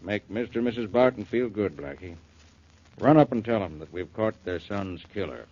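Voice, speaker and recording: Folksy police chief, muffled radio sound. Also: male voice, fairly low pitch, lively intonation, normal speed, very noisy. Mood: dry, in command. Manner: plain-spoken, clipped folksy phrasing. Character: folksy, unruffled small-town police chief. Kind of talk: 1940s radio drama acting. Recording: muffled 1940s radio recording.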